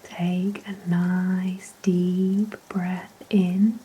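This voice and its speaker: A woman guiding a sleep hypnosis relaxation in a soft, whispery, gentle and soothing voice, with long unhurried pauses. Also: lowish voice, husky, rough recording. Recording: steady rain sounds behind voice. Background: rain ambience.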